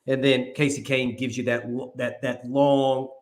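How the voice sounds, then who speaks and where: A man's voice: mid-range voice, level tone, measured pace. A man co-hosting a casual sports talk show, loose and rambling with lots of filler words. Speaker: co-host. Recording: echoey room.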